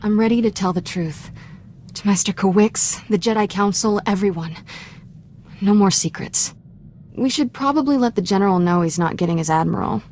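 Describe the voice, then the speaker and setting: A woman's voice: mid-range voice, normal speed, darkish tone, slight background noise, natural voice. A woman playing a defiant, wounded young Jedi apprentice in a video game, candid and earnest. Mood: earnest resolve. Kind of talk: scripted video game dramatic acting.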